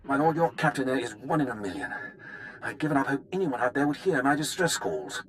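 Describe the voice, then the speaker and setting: An actor playing a gruff, defiant military major in a video game, with a plain-spoken, folksy manner. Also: male voice, medium pitch, lively intonation, brisk, a little hiss or hum, lightly acted. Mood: wry, strained. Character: gruff, blunt, defiant male army major under siege.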